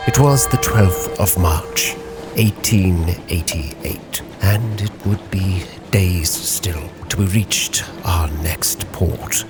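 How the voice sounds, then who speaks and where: A man playing a grave, driven Victorian sea captain, solemnly narrating his log with theatrical, weighty pauses over music. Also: low-pitched. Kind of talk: dramatic scripted storytelling, ship's log in character.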